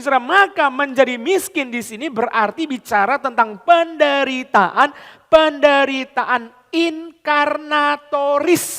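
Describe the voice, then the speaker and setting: High-voiced pastor in reverberant hall. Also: male voice. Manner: preaching with big swings from loud high emphasis to low quiet asides; repeats "saudara" constantly, mixes in English phrases and colloquial Jakarta slang. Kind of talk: sermon.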